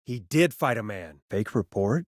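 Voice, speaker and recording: A man playing an earnest, rattled radio host in a video game, speaking in a halting, conversational way as he reasons with a killer. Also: lowish voice, lively intonation, no room sound, studio quality. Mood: tense, questioning. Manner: halting, stammering, conversational delivery. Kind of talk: dramatic video game voice acting.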